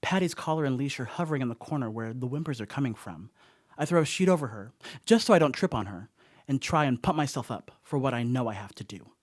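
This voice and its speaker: A man's voice: medium pitch, expressive, fast talker, no room sound, natural voice. Rattled, rambling slacker played by a man, dry close sound. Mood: uneasy, wry. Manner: conversational, wry, rambling confession. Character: rattled, rambling, wry slacker man confessing a frightening night. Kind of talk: first-person monologue in a horror comedy radio play.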